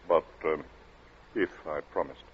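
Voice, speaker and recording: An actor playing a timid, flustered gentleman in an old radio thriller, talking in hesitant, mumbled fragments as though cornered. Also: a bass voice. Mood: cautious. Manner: halting, mumbling, stammering hesitancy. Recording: muffled, noisy vintage radio recording.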